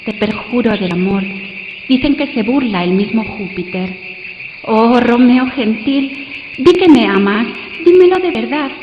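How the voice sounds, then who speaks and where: A woman's voice: a little high, expressive, a little slow. A woman playing a lovestruck young noblewoman, coy and passionate, in a theatrical, ardent classical radio play. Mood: pleading, passionate. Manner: theatrical, ardent, swinging between bold and hushed. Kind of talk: live radio play, classical romantic drama. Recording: muffled, noisy, echoey hall with crickets. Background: cricket sound effects.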